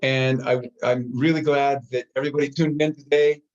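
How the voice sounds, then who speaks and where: A man giving casual, halting closing remarks as a guest in an online presentation. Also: mid-range voice, flat delivery, dull audio. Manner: casual, hesitant with fillers.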